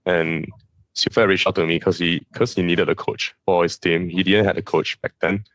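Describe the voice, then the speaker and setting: A man's voice: low voice, fairly even intonation, moderate pace, fairly bright tone, dry recording. A man whose first language is Chinese, an esports coach from Southeast Asia, talking casually in an interview and often repeating words as he recounts things. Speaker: esports coach, podcast guest.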